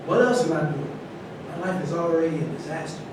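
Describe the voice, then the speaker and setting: Man reading a high-voiced troubled ex-athlete. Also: animated, a little fast, close to an everyday voice. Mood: defensive. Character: troubled male ex-football player and actor, defensive. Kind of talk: staged play reading. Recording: echoey distant room recording, noisy rumble.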